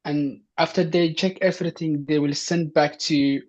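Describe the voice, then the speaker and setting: A man from Somalia walks listeners through information in a fairly high voice, full of "um" and "you know" as he restarts his sentences. The sound is dull and lo-fi, like an online session over a video call. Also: fairly even intonation, moderate pace, darkish tone. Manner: hesitant, many fillers and restarts. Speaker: presenter. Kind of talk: informational talk.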